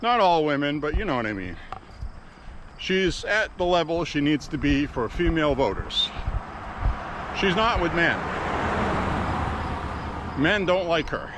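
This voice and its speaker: Slow-spoken man walking a country road. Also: fairly high pitch, big pitch swings, noisy background. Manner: slow, deliberate radio-trained delivery. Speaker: walking vlogger, former radio host. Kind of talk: casual walking vlog monologue. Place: outdoors on a quiet country road. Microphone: Bluetooth earpiece. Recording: faint crickets outdoors. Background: crickets and insects.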